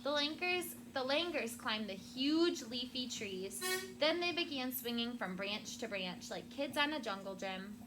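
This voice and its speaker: Casting: female elementary teacher, higher-pitched, expressive read-aloud with simple explanations, noisy recording. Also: measured pace. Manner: expressive reading, child-friendly explaining. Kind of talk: read-aloud storytelling to children, with questions and vocabulary asides. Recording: loud background noise.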